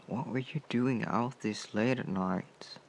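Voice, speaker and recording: A man acting a caring, protective male comrade in a comfort roleplay, speaking softly and soothingly with unhurried pauses. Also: mid-range voice, creaky, a little hiss or hum. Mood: alarmed, insistent. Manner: soft-spoken, hushed, soothing, with unhurried pauses. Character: caring male anarchist comrade, protective, gently scolding, tending a friend.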